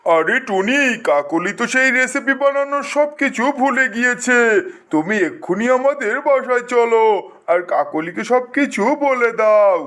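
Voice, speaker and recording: A wily male crow from a children's cartoon, voiced by a man, pitched extremely high. He is a confident husband with a scheme always ready. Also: animated, normal speed, fairly bright tone, smooth. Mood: reassuring.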